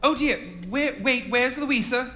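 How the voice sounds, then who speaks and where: A man's voice: very high voice, measured pace, bright, forceful tone, slight background noise, full character voice. A man playing a prim fussy governess. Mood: bossy. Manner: prim, theatrical. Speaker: chorus performer. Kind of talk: live stage comedy skit. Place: theater stage. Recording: echoey theater with live music.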